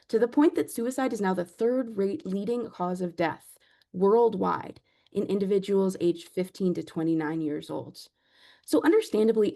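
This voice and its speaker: A woman lecturing as a clinical psychologist, speaking in a measured, explanatory way with a naturally soft voice. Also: mid-range voice, vocal fry. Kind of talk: academic lecture.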